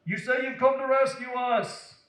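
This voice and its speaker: Man putting on a high, taunting mocker. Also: fairly even intonation, measured pace, fairly bright tone. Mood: jeering. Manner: taunting, mocking. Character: jeering mob of mocking soldiers and onlookers. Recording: roomy echo.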